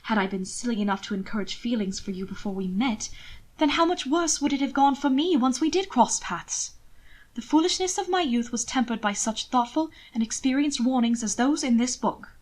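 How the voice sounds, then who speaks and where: Woman reading as a prim, prickly young gentleman. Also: a little high, normal speed, close to an everyday voice. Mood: bitter, guarded. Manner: stiff, formal, defensive. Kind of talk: fiction read aloud, character dialogue.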